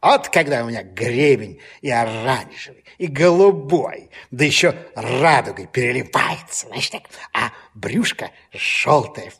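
A man's voice: mid-range voice, animated, a little slow, hardly any pauses, a bit bright, husky, heavily acted. An actor playing a wise, cautious, self-satisfied male newt in a children's audio play, grumbling and chuckling expressively. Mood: proud, amused.

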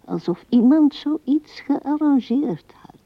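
Slow, creaky old widow, musing softly. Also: female voice, high-pitched, lively intonation, no room sound, exaggerated voice. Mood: wistful musing. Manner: musing, halting, often dropping to a whisper. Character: old widow Anna musing tenderly to her dead husband. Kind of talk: intimate radio play monologue. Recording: dull, dry vintage radio recording.

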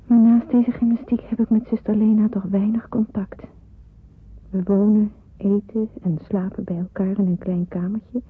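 An actress playing an earnest, devout young woman in wartime hiding in a radio drama, with a husky, breathy voice. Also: mid-range voice, steady tone, moderate pace, lightly acted. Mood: devout, solemn. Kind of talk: radio drama acting with narrated monologue. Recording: muffled, phone-like radio play recording.

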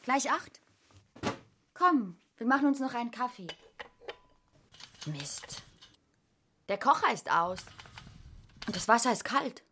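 A woman's voice: on the high side, big pitch swings, slow, pauses often, pushed and bright, slight background noise, exaggerated voice. An actress playing a practical young woman and secret lover in a radio drama, speaking in a natural, intimate way. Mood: drowsy, matter-of-fact. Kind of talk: German radio drama acting.

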